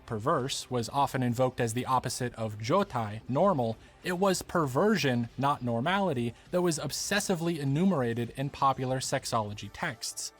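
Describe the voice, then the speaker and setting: A man delivering scripted documentary narration as the narrator of a video essay. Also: medium pitch.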